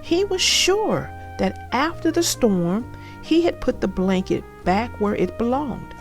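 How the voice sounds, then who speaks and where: Lady reading a kids book out loud, unhurried, bit of gravel in the voice, soft music under it. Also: fairly high pitch, big pitch swings. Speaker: children's story narrator and channel host. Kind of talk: read-aloud storybook narration.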